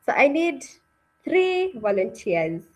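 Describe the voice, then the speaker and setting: A woman's voice: high-pitched, big pitch swings, takes it slowly. A woman hosting an interactive online read-aloud session and narrating the story, encouraging and often checking in with her listeners. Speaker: webinar host and story narrator. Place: online video call.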